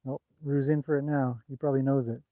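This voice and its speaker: A chatty, rambling man talks over a casual livestream as his horses play outdoors, recorded on a cell phone. His voice has a mid pitch, and the sound is dry and slightly dull. He wanders from point to point and answers viewer comments as he goes. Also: flat delivery, quick pace, dry recording. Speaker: horse trainer hosting a livestream. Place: outdoors by a horse paddock on a bright day.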